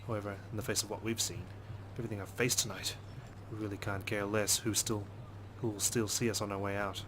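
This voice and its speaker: Guy with a low voice reading a visual novel out loud, sweary, bit of noise behind him. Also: flat delivery, fairly quick. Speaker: let's play host. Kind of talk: reading visual novel narration aloud with side comments.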